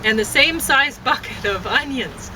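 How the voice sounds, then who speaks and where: A woman chatting casually and haltingly, thinking aloud as she goes and often breaking off to rephrase. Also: lively intonation. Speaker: vlogger and amateur poet. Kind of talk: casual rambling chat to camera. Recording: noisy, distant mic, traffic-like rumble.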